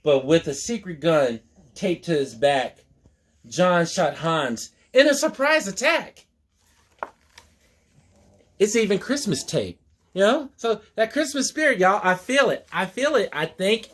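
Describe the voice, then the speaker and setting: A man's voice: high voice, very animated, measured pace. A man reading a rhyming story aloud as a storyteller, expressively, with folksy, playful asides to his listeners.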